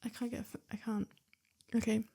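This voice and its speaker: A woman's voice: fairly high pitch, moderate pace, creaky, husky. Chatty woman reading stories aloud. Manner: chatty, excitable, interrupts herself with asides. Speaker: reader and channel host.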